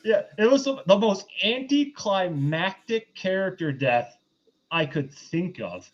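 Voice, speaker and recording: A man's voice: sits high, lively intonation, measured pace, lo-fi sound. A man hosting a casual film review, recapping in a loose, rambling conversational way.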